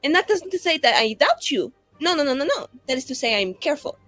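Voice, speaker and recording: A woman's voice: high-pitched, very animated, fast talker, fairly bright tone, no room sound, faint music behind, voice pushed up. A woman playing a tipsy, cocky, suspicious drinker in an improvised tabletop game, rambling in a theatrical, teasing way. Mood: wary, bossy.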